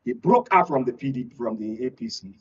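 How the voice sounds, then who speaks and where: A man's voice: medium pitch, big pitch swings, fairly quick, slight background noise. A man with a Nigerian accent speaking as a guest analyst in a political panel discussion, explaining at length and repeating phrases for emphasis. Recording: dull, distant studio sound.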